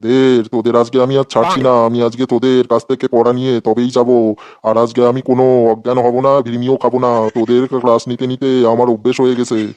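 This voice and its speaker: A man's voice: medium pitch, level tone, fairly quick, darkish tone, smooth, no echo, dull audio, close to an everyday voice. A man playing an irritable, sarcastic schoolteacher who threatens his pupils in a comedy cartoon. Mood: angry, sarcastic. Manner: scolding, sarcastic. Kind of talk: exaggerated comic cartoon dialogue.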